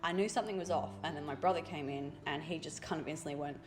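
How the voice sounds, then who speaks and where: A young woman art youtuber talking casually over her drawing, raspy from a cold and rambling with fillers. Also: deep voice, fast talker. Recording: voice-over with loud music behind.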